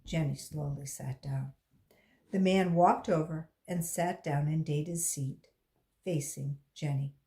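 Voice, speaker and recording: A volunteer reader from West Virginia, a woman reading a children's book aloud in a slow, expressive storytelling voice. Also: low voice.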